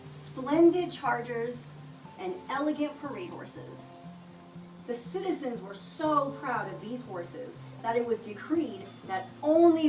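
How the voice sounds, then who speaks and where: A woman reading a children's picture book aloud with expressive, deliberate storytelling delivery. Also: fairly high pitch. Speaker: storybook reader. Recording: roomy echo, distant mic, music behind.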